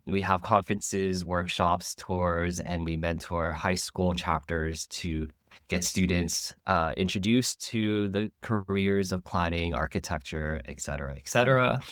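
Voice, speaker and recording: A man who runs a nonprofit, speaking on a webinar panel with a nasal voice from a cold. He explains his organization in a steady, informative way with frequent fillers. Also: low voice, no echo, clean studio sound. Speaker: nonprofit co-executive director. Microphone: video call.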